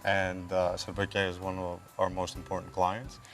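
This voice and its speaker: A man with an American accent, a company director answering interview questions in a relaxed, hesitant way with many fillers. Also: low-pitched, a little slow, faint music behind. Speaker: company director, studio guest.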